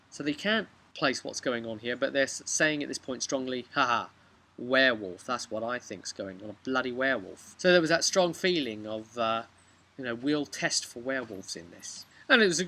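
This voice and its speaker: A British man in his thirties casually retelling a tabletop role-playing session, halting in places and animated in others, recorded outdoors on a camera microphone. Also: medium pitch. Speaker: game master recapping a session. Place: outdoors, on a bench by a house. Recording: outdoor webcam with background noise.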